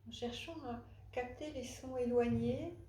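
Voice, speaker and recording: A woman leading a guided relaxation as a yoga nidra instructor, speaking haltingly with long pauses and frequent hesitations. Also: a little high, dark tone. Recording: roomy echo, faint background noise.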